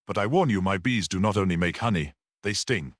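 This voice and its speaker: A man's voice: deep voice, lively intonation, brisk, no room sound, studio quality. A man voicing a grim, coolly defiant storybook character with a curt, sinister politeness. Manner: curt, impatient, urging.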